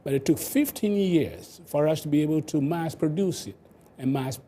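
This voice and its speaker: Older Ghanaian-accented male expert, mellow mid-range voice, explanatory interview delivery, some background noise. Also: fairly high pitch, expressive, moderate pace. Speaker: inventor, interview guest.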